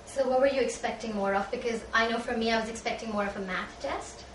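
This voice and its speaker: A young woman from Pakistan moderating a casual student panel discussion with quick, leading questions. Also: on the high side. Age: young adult. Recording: roomy, distant mic with background noise.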